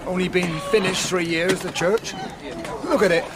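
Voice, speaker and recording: Commanding warden, male actor, somewhat high pitch, old-fashioned turns of phrase, bossy but comforting, radio drama. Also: steady tone, normal speed, a crowd in the background, natural voice, low-quality recording. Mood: grim, sorrowful. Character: bossy church warden, stern but soothing.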